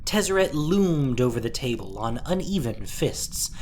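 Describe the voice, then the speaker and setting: A woman narrating a dramatic story from a script, expressive and deliberate in her telling. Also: rumbling low, slight background noise.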